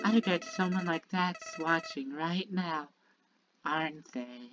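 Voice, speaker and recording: A woman's voice: low-pitched, takes it slowly, poor audio. A woman voicing a sinister, childlike talking flower from a video game, bitter and manipulative, delivering a theatrical monologue that moves between sweet musing and menace. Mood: gleeful, menacing. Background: prominent music.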